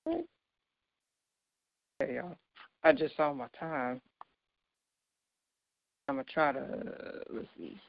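A woman in her thirties with a deep, low voice, giving an informal, earnest devotional sermon and laughing lightly between points. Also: creaky, no echo, highs cut off. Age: 30s. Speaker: speaker giving a devotional talk.

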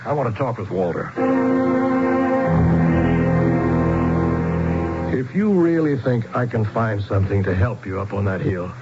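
An actor playing an uneasy, reluctant outsider in a 1970s radio drama, earnest and hesitant. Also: male voice, fairly low pitch, lively intonation, brisk, natural voice. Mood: hesitant, resigned. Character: Doug, uneasy, reluctant outsider exploring a strange phenomenon. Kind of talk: 1970s radio drama acting and first-person narration. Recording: muffled old radio broadcast, music behind.

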